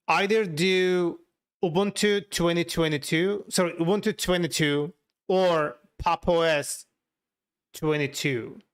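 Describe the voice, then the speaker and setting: Clear, higher-pitched man with a Turkish accent. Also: a bit bright. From Turkey. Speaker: livestreamer, software developer. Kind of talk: casual livestream chat, answering viewers while working.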